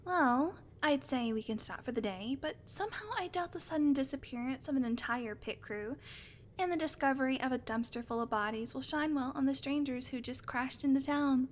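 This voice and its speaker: A woman's voice: high voice, moderate pace, slight background noise, natural voice. A woman playing a sarcastic, teasing vampire who swears casually, in a conversational audio roleplay. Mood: wry, casual. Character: sardonic female vampire, unrepentant predator, teasing. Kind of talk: audio roleplay acting, conversational monologue to listener.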